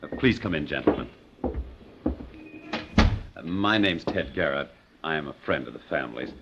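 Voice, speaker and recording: An actor playing a polite, suave young family friend in an old-time radio drama. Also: male voice, very deep voice, animated, normal speed. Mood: courteous. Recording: muffled, noisy old radio recording.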